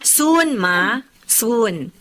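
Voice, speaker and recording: An actress playing a soft-hearted, pleading young Filipino daughter in a drama. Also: female voice, a little high, lively intonation, drawn-out words, slight background noise, heavily acted. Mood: pleading, defensive. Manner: whiny, pleading, protesting.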